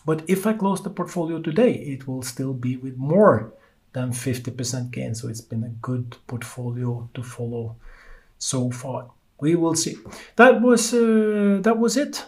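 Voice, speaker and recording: Norwegian man hosting a halting market podcast. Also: medium pitch. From Norway. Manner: halting, many uh fillers, loose non-native phrasing. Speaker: podcast host.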